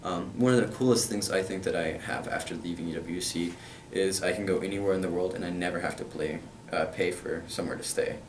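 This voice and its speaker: A man's voice: low-pitched, brisk, hardly any pauses, echoey room, recorded from a distance, background noise. A young man from Kentucky answering interview questions about his school in an earnest way, with plenty of hesitant fillers. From Kentucky, USA.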